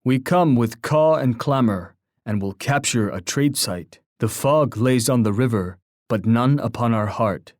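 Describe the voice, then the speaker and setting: An actor playing a proud, poetic Native war chief in a video game, speaking in a formal, grandiose, oratorical manner. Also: male voice, mid-range voice, a little slow, hardly any pauses, darkish tone, no room sound, clean studio sound, natural voice. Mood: resolute, menacing. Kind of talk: scripted video game voice lines.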